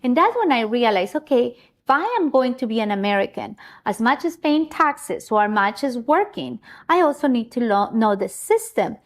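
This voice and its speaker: A woman's voice: a little high, expressive, moderate pace, few pauses. Chatty woman host from Ecuador. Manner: effusive, chatty, trips over words. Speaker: talk show host. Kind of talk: casual interview chat.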